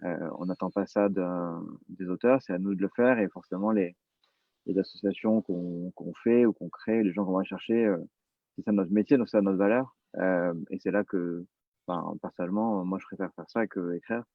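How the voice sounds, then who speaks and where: A man's voice: low-pitched, fairly even intonation, normal speed, no echo, muffled recording. A man speaking as a podcast producer in a casual panel discussion, using informal, slangy wording.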